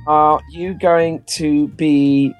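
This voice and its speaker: A man's voice: fairly high pitch, level tone, very slow, clear, resonant tone, phone-quality sound, soft background music. A man in his twenties calling in late at night, asking playful, teasing questions. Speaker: guest on call. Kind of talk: casual late-night chat.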